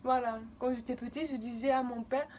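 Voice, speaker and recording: A woman's voice: a little high, fairly even intonation, fairly quick, a little mellow, a little hiss or hum. A woman in her twenties from Spain, speaking French with a Spanish accent, a native Spanish speaker telling a rambling personal story in a vlog. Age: 20s. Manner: rambling, repetitive, emotional. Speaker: vlogger.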